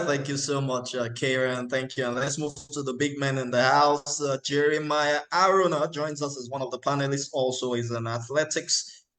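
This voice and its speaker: A man hosting a webinar panel, introducing speakers in a relaxed, chatty way with fillers and light jokes, heard over a video call. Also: mid-range voice. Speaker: webinar moderator.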